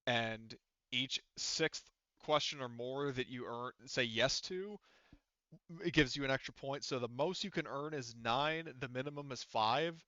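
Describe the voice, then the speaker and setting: A man's voice: mid-range voice, bright, forceful tone, smooth, no echo. Man game master, clean but dull audio. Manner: profane, conversational. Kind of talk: casual explaining of tabletop game rules.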